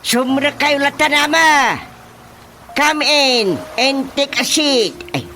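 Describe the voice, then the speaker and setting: A man's voice: very high voice, animated, takes it slowly, bright, forceful tone, slight background noise, full character voice. A man acting a self-important, flustered elderly village chairman with an Ilocano accent in a radio drama comedy. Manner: pompous, muttering, exclamatory. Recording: music behind the voice. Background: music.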